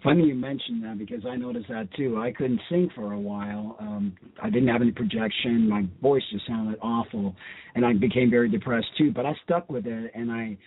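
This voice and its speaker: A man's voice: medium pitch, big pitch swings, brisk, gravelly, husky. A man hosting a radio show and interviewing casually. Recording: muffled, thin phone-like radio audio.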